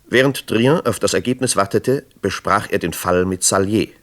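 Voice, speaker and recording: A man's voice: on the low side, normal speed, fairly bright tone, lightly acted. A man narrating a scripted true crime radio drama in a sober manner. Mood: sober, suspenseful.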